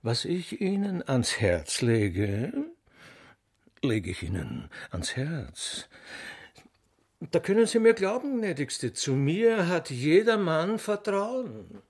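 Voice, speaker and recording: A man's voice: on the high side, wildly swooping pitch, takes it slowly, slightly rough, heavily acted. An actor playing a fawning furniture salesman in a radio play, with an Austrian accent, an unctuous buttery voice and gushing, insinuating delivery. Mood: oily sincerity. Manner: gushing, servile, insinuating, with lingering emphasis. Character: smarmy, flattering male furniture salesman wooing a customer.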